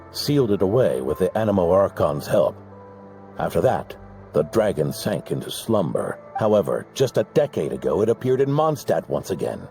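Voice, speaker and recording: Grizzled old knight, deep and gravelly, grave storyteller. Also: male voice, mellow. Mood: solemn. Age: older. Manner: measured, storytelling gravity. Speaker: game character.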